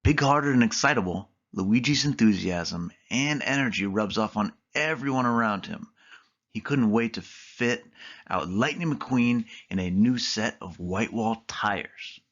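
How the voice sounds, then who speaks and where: A dull, muffled recording carries a man reading a children's picture book aloud. His voice sits on the low side, in a storytime narration style. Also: unhurried, fairly bright tone. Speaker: storybook reader.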